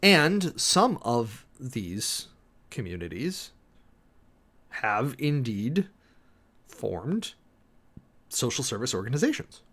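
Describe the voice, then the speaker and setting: A man's voice: mid-range voice, very animated, a bit bright. A man livestreaming a dramatic reading with close commentary, theatrical and emphatic, stretching words out and breaking into wry asides. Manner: theatrical, emphatic, with drawn-out words and sudden swells. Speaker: livestream host, preacher.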